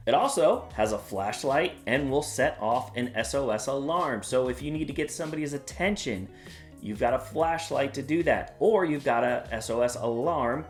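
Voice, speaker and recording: A man hosting his own channel, talking casually and upbeat through a gadget review with a few fillers. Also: mid-range voice. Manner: upbeat, plain-spoken, with some "uh" fillers. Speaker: product reviewer and channel host. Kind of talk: casual product review vlog.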